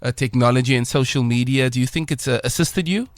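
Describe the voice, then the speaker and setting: A man's voice: medium pitch, quick pace, no room sound. A man with a South African accent hosting a radio interview, chatty and enthusiastic, with rambling questions. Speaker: radio host. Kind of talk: casual interview chat. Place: radio studio.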